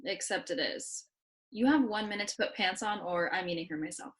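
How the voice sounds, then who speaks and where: A woman acting out a young, self-assured romantic partner who likes to tease. The voice has a middle pitch and sounds like a drama table read. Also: fairly quick, lightly acted. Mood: bossy, playful. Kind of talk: script read-through acting.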